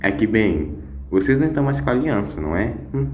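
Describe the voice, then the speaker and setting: Grandfatherly adventurer, acted by a male narrator: low, principled, persuasive, questioning hums, echoing over music. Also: sing-song, fast talker, a little mellow, slight background noise. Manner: emphatic, coaxing, with questioning hums. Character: wise male alien time-traveller, grandfatherly, moral, persuasive.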